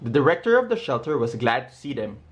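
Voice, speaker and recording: A man reading a children's storybook aloud in a deliberate way, pausing between short, simple phrases. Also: mid-range voice, sing-song. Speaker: storybook reader. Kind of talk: read-aloud of a children's picture book.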